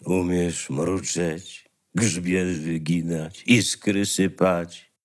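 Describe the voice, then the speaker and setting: Man as a slow, haughty tomcat. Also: low voice, flat delivery. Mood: disdainful. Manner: slow, disdainful. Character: pompous, haughty male house cat. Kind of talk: fairy-tale radio play acting.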